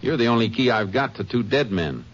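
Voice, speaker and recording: An actor playing a steady, hard-pressing male Texas Ranger who narrates and questions a suspect in a 1950s radio drama. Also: medium pitch, fairly quick, close to an everyday voice. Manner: clipped, firm, interrogating. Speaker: lead detective and narrator. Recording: muffled old radio broadcast.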